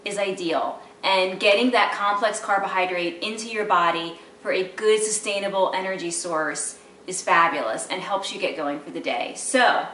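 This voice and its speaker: A woman's voice: mid-range voice, moderate pace, sharp tone. A middle-aged woman walks through a recipe in her own kitchen, talking in a chatty, instructive way with easy asides. Her voice comes from a distance off the camera mic and rings in the room, over a faint household hum. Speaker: cooking show host. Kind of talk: casual cooking demonstration. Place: home kitchen, indoors. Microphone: camera mic from across the room. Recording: roomy, distant camera audio with light background noise.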